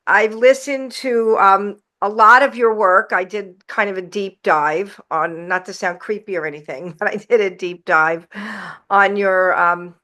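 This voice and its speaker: A woman with a bright, forward voice hosts an interview in an upbeat, chatty way. She sounds enthusiastic, laughs easily, and asks her questions casually. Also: medium pitch, steady tone, unhurried. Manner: warm-hearted, enthusiastic, laughs often. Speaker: podcast host.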